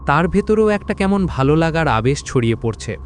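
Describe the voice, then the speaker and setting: Lively, higher-pitched male horror narrator. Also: fairly quick, slight background noise. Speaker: story narrator. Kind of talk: scripted dramatic horror narration.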